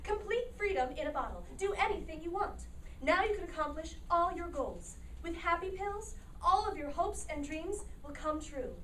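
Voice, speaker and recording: A woman playing an upbeat, gushing pitchwoman in a parody commercial at a staged reading, with exaggerated cheer. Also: lively intonation, normal speed, a bit bright. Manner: exaggerated cheer, reciting lists. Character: gushing, upbeat pitchwoman of a spoof pill advert. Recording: distant mic, noisy echoey room.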